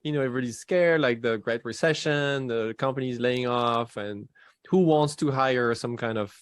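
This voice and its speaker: A man in his forties with a French accent, a guest in a casual interview who talks in halting bursts, restarting his sentences and laughing between thoughts. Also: medium pitch, clean tone, no echo, studio quality. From France.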